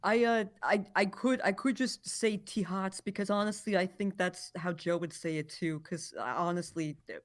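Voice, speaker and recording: A woman's voice: lowish voice, normal speed. A woman voice actor chatting casually on a panel in a hesitant way. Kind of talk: casual chat.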